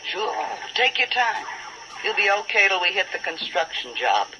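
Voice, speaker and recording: A woman's voice: mid-range voice, sing-song, normal speed, close to an everyday voice. A hard-boiled boat captain acted by a woman talks bluntly in slang, every inch the mercenary. The vintage radio sound is dull and noisy. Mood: shrewd, needling. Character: tough, cynical, mercenary female riverboat skipper. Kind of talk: 1940s radio acting. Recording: dull, noisy old 1940s radio recording.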